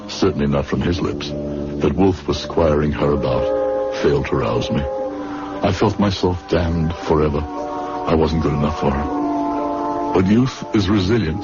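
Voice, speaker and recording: An actor playing a bitter, regretful medical assistant in an old-time radio drama, with a brooding, dramatic delivery. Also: male voice, very deep voice. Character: regretful, bitter male medical assistant telling his downfall. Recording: muffled radio recording with loud music.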